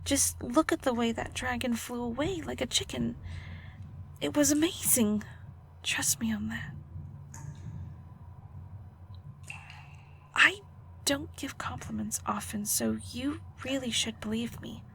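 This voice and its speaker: A woman playing a prickly, guarded but secretly caring alchemist in an audio roleplay, halting and flustered, teasing and defensive. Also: a little high, vocal fry, fairly bright tone, a little hiss or hum. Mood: grudging praise. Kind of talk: audio roleplay acting, intimate one-sided dialogue.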